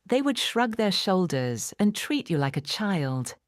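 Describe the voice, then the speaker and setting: A woman narrating a children's storybook in a measured, clearly phrased, expressive bedtime-story manner. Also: lowish voice, no room sound, studio quality. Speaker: audiobook narrator.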